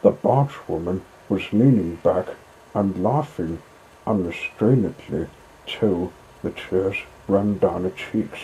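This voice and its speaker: A man's voice: low-pitched, slow, warm tone, slight background noise, rough recording. A man reading a classic children's story aloud as a scripted narration.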